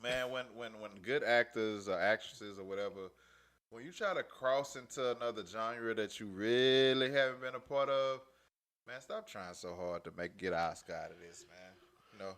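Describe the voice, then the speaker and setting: A young man co-hosting a podcast in casual chat, slangy and animated, laughing often and swinging between low mutters and loud outbursts. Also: moderate pace. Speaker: podcast co-host.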